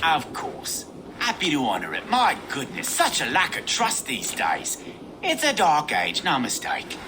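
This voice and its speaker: An actor playing a sly older bandit gang boss, theatrical and taunting with false geniality, in a video game cutscene. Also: male voice, fairly high pitch, huge pitch swings, unhurried, noisy background. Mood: smug.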